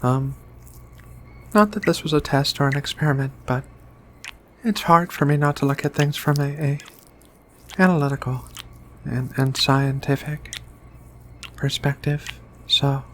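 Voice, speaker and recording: A woman voicing a gentle, affectionate giant alien scientist in a soft-spoken, hesitant ASMR roleplay. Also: very deep voice, fairly even intonation, unhurried, pauses often, slight background noise. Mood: affectionate, reflective. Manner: tender, hesitant, murmuring hums between phrases.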